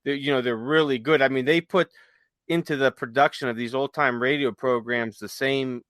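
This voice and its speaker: A man with a bright, crisp-edged voice at a middle pitch, chatting as a podcast host. He rambles conversationally and haltingly as he recounts things. The sound is dry, with no room around him. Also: steady tone, no room sound. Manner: halting, conversational, retells stories with quoted dialogue. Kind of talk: casual chat, rambling storytelling.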